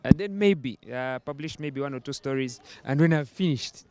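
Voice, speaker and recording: Ugandan-born man, panel guest outdoors, friendly and laughing, mid pitch. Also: normal speed, mellow, no room sound. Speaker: panelist, rugby writer. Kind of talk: casual panel chat.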